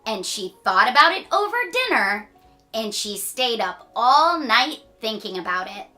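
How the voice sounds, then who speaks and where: A woman reading a children's story aloud with playful asides and dramatic, drawn-out emphasis. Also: a little high, very animated, sharp tone, faint music behind. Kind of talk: animated read-aloud storytelling.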